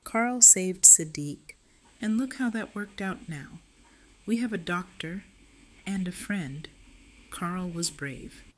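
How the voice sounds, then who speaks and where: A woman acting a calm, measured character in a fan audio drama. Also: fairly low pitch, poor audio. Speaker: voice actor.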